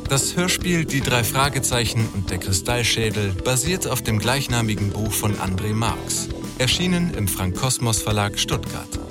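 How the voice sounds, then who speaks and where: A male announcer reads credits in a measured, formal way. His medium-pitched voice moves in a lively melody, while loud guitar music plays behind him. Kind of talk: scripted credits narration.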